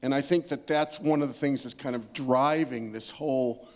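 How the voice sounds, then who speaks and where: A man with a medium-pitched voice offers literary commentary at a panel discussion. He is emphatic, building each point by restating it in parallel phrases. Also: fairly even intonation, moderate pace, darkish tone. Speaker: panelist speaking about poetry.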